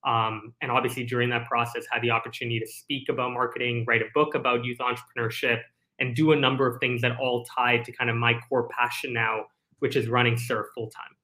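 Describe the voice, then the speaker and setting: Man, 20s, from Singapore, interview guest, articulate, even steady intonation. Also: mid-range voice, fairly quick. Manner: articulate, thoughtful storytelling. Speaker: startup CEO guest. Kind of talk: casual interview.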